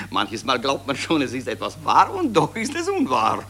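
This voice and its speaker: Excitable, swooping Austrian newspaper reader. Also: male voice, mid-range voice, moderate pace, full character voice. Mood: puzzled, eager. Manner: excitable, questioning. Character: gullible, eager male newspaper reader, excitable questioner. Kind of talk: satirical radio dialogue acting.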